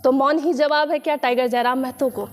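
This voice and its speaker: A woman with a clearly high voice conducts a political interview. She speaks as a news reporter, framing pointed questions to her guest. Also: steady tone, a little fast.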